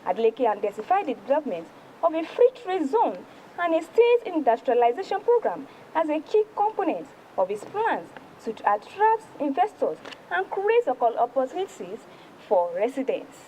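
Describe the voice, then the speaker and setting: A female news presenter with a Nigerian accent reviews the newspapers in a high voice. Her delivery swings widely in pitch and is emphatic and deliberate. Some background noise sits faintly behind her. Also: very animated, dark tone, rough recording. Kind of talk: read-aloud newspaper review on TV.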